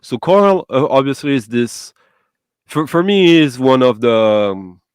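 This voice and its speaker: Host guy, english not his first language, smooth clear voice, dry sound, gets excited and hunts for words. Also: medium pitch, unhurried, no room sound. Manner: enthusiastic, searching for words. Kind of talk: casual music chat.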